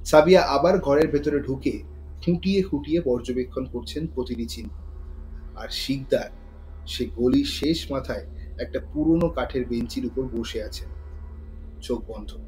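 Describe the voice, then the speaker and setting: A man's voice: medium pitch, moderate pace, pauses often, darkish tone, faint music behind, poor audio. A man narrating a scripted thriller story in a dramatic storytelling style. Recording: faint noise and ambience behind voice.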